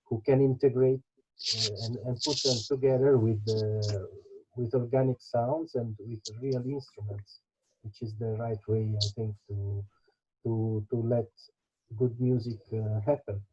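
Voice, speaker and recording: Very mellow, dark-voiced man, slow and halting. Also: mid-range voice, fairly even intonation, highs cut off, slight background noise. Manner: very hesitant, halting non-native English with many pauses. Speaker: musician interviewed. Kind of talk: reflective interview talk.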